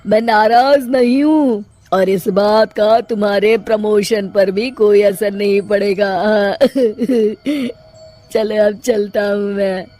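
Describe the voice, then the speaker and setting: A woman's voice: mid-range voice, steady tone, warm tone, soft background music, natural voice. Easygoing male boss acted by a woman for cartoon story: leisurely, genial, forgiving. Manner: unhurried, chuckling.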